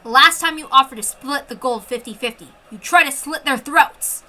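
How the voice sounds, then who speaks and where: A woman voicing a protective, resolute soldier, earnest and forceful, in a dramatic story reading. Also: high voice, normal speed. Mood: cold, resolute. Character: protective, resolute Japanese soldier, adult man.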